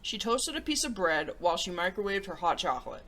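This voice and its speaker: A woman hosting a children's story show, reading aloud in an animated, expressive way. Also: lowish voice, fairly quick.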